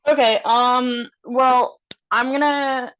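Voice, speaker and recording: A woman thinking aloud haltingly and casually as a player in a tabletop roleplaying game. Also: high-pitched, fairly even intonation, fairly bright tone, highs cut off. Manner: hesitant, halting.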